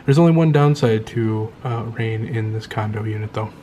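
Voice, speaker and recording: A man vlogging casually from his condo, rambling and pausing often to find his words. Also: lowish voice, warm tone, lo-fi sound, slight background noise. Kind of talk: casual show-and-tell vlog. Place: indoors at home in a condo.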